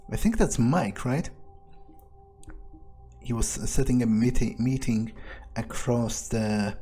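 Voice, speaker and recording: A man's voice: mid-range voice, warm tone, slight background noise. A man musing in character as he plays through a game, hesitantly thinking out his next steps. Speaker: gamer playing in character.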